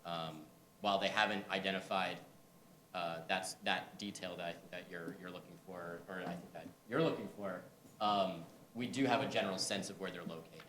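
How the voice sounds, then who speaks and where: A man's voice: low voice, fairly even intonation, normal speed, pushed and bright, roomy sound, slight background noise. A man staff member answering commissioners' questions hesitantly, with many fillers.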